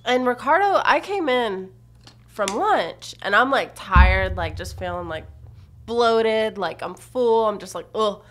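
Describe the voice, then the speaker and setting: Female podcast co-host, blunt and assertive, slightly high voice. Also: slow pace. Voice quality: low for a woman, assertive. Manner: blunt, animated storyteller. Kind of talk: casual chat.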